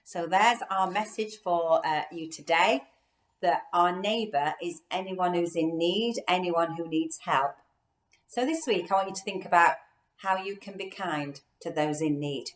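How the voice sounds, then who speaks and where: A woman's voice: lowish voice, a little slow. A woman who works with children at a church, reading a story aloud and explaining it simply. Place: inside a house. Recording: echoey room.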